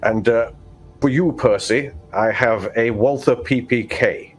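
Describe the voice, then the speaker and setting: A man playing a stern, pompous vault overseer, speaking in formal, measured phrases in a tabletop roleplaying game. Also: medium pitch, slightly rough, faint music behind. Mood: commanding, formal. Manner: formal, measured, stiff-upper-lip.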